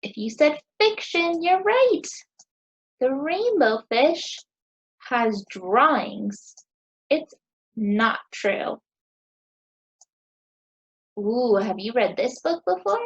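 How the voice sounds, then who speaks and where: An American woman in her thirties teaching young children, asking questions in a sing-song, encouraging way with deliberate pauses. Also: sits high, sing-song, breathy edge, dull audio. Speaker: teacher hosting a children's story time.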